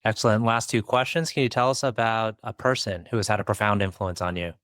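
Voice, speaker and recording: A man hosting a business podcast, asking relaxed conversational questions and nudging the guest along. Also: on the low side, quick pace, no echo, clean studio sound. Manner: relaxed, prompting with frequent backchannels. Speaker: podcast host, interviewer.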